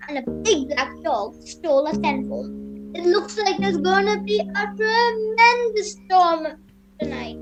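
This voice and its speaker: Lady doing a really high earnest kid in a radio play, music behind. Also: rough recording. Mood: polite. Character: polite, plucky lost girl and her nervous brother.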